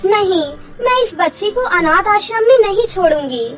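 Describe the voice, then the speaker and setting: A woman's voice: very high voice, animated, normal speed, faint music behind. A woman voicing a kind, protective young fairy mother in an earnest, emphatic cartoon fairy-tale style. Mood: worried, determined. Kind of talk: animated Hindi fairy-tale cartoon acting.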